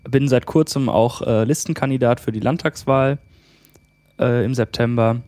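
A man's voice: mid-range voice, moderate pace, darkish tone, dry recording. A man from Germany, a political candidate, talking on a podcast with frequent hesitations. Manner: hesitant, many fillers. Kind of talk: casual podcast conversation.

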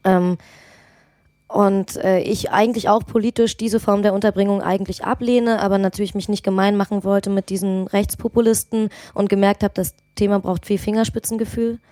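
A woman's voice: mid-range voice, steady tone, moderate pace, warm tone, no room sound, clean studio sound. A woman from Germany, a local politician, talking on a podcast in a thoughtful way with many hesitations. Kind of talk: casual podcast conversation.

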